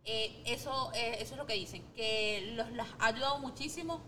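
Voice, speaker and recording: A woman with a Venezuelan accent co-hosting a casual interview, feeling her way through questions in halting phrases. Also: fairly high pitch, sharp tone, a little hiss or hum. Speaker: interviewer, co-host.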